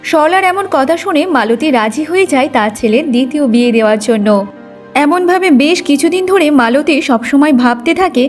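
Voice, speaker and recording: A woman's voice: sits high, expressive, fairly quick, soft background music. A woman narrating a scripted moral story for a cartoon.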